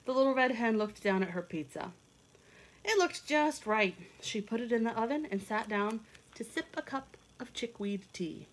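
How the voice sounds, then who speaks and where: A woman in her thirties reading a picture book aloud and explaining it to children in simple, expressive, teacherly phrases. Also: fairly high pitch, moderate pace, low-quality recording. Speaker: children's read-along teacher. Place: indoors at home, kitchen and a room.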